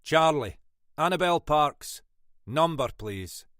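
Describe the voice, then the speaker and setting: A man voicing a shrewd older Scottish detective inspector with a dry, brisk, wry manner. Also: on the high side, animated, a bit bright, no echo, voice pushed up. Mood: urgent.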